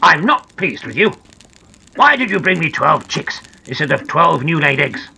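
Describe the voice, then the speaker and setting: A woman's voice: lowish voice, sing-song, moderate pace, gravelly, pushed and bright, slightly rough, heavily acted. A woman voicing a fussy, scolding but kindly male gnome from a children's storybook, exclaiming and reproving, with some background noise. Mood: stern, astonished.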